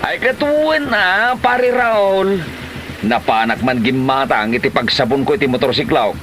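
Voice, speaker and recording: A man's voice: medium pitch, animated, over music, close to an everyday voice. A man acting a swooping, exclaiming Ilocano husband. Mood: proud. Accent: Filipino, Ilocano. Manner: exaggerated, drawn-out exclamations. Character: motorcycle-obsessed husband, dramatic, exclaiming, oblivious to his wife. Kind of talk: Ilocano comedy radio-style drama acting.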